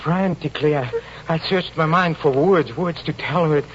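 An actor playing a haunted, desperate stage magician, telling his tale haltingly with repeated words in an overwrought old-time radio style. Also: on the high side, close to an everyday voice. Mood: pleading, desperate. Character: haunted, desperate male stage magician recounting a terrifying ordeal. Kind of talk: old-time radio drama acting, tormented first-person narration. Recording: muffled, noisy old radio recording.

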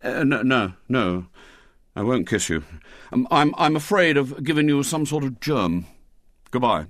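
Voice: mid-range voice, animated, unhurried, no echo, clean studio sound, voice pushed up, lightly acted. A storyteller voicing a grumpy, self-pitying schoolboy who blusters indignantly and stammers when flustered.

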